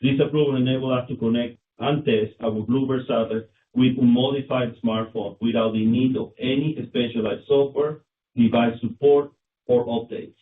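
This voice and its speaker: A male company executive reading scripted financial results on an earnings call in a formal, somewhat halting manner. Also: medium pitch, steady tone, far from the mic. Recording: muffled phone line, roomy, clicks, noise.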